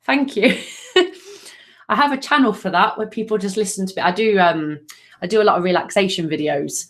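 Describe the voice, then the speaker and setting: A woman's voice: mid-range voice, lively intonation, normal speed. Soothing chatty woman from Nottingham. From Nottingham, England. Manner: gentle, soothing, affectionate, with frequent fillers. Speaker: angel card reader. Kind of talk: live card reading, chatty.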